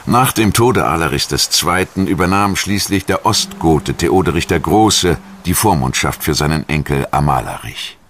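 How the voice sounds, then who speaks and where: A man reading scripted documentary narration in a measured, formal manner. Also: low voice, lively intonation, fairly bright tone, a little hiss or hum.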